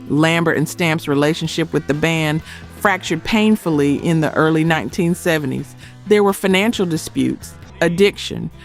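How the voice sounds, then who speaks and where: A man with a slightly high voice narrates a music documentary from a script. He lands short phrases with dramatic emphasis, and music plays faintly behind him. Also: vocal fry. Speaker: narrator of a music history video.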